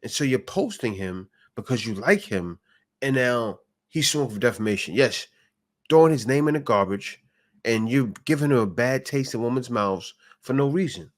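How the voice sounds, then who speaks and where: A man's voice: mid-range voice, lively intonation, moderate pace. A man hosting a reaction video, giving blunt, argumentative commentary full of rhetorical questions.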